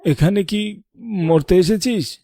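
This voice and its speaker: Man acting a mellow-toned, scolding old grandfather. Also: high-pitched, slow. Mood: alarmed, indignant. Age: older. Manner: scolding, reproachful. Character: indignant old grandfather, murdered, returns as vengeful ghost. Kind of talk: Bengali horror cartoon voice acting.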